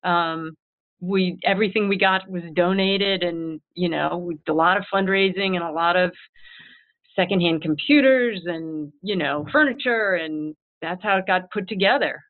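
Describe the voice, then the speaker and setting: A woman who heads a school, answering interview questions in an earnest, animated way and acting out her own past thoughts. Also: fairly low pitch, normal speed. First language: English. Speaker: head of school. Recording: tinny phone-like line.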